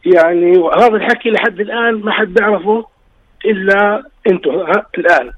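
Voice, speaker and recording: A man's voice: high voice, a little fast, fairly bright tone, dry recording. A married man with a slightly tired voice calls in to a radio show to share an earnest personal story. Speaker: caller. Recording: dull lo-fi phone line.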